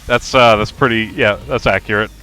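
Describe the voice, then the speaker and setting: A man's voice: lowish voice, steady tone, a little fast. A man narrating a game as a live streamer, reading aloud with casual, sweary reactions. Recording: faint music behind.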